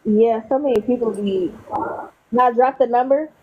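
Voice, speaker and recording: A woman's voice: a little high, normal speed, slight background noise. A woman hosting a casual chat with a viewer, talking in a loose, chatty way and sounding tired. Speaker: channel host. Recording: dull lo-fi sound.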